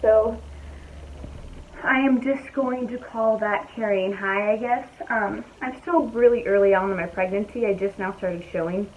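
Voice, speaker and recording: A young woman talking casually to her viewers from home, her voice slightly raspy and hoarse, chatty and easygoing as she thinks aloud. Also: mid-range voice, a little slow, darkish tone, highs cut off, a little hiss or hum. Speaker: vlogger. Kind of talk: casual chat to camera. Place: at home, indoors.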